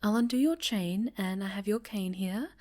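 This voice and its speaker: A woman playing a sinister, possessive female superhero villain in an ASMR roleplay, speaking softly with sweet menace and often whispering. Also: medium pitch, lightly acted. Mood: gentle, coaxing. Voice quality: soft, breathy, often whispered. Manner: slow, sweetly menacing, coaxing.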